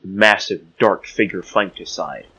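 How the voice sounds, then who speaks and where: A man's voice: low voice, a little slow, sharp tone, breathy edge, poor audio. A man narrating a scripted fantasy audio drama in a portentous, theatrical manner.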